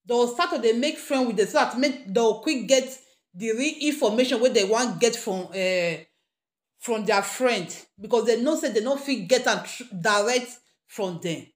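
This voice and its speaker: A woman's voice: a little high, lively intonation, normal speed. A woman with a Nigerian accent giving informal gossip commentary, addressing her listeners directly and emphatically. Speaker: gossip vlogger. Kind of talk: informal news commentary in Pidgin. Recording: roomy, echoing room sound.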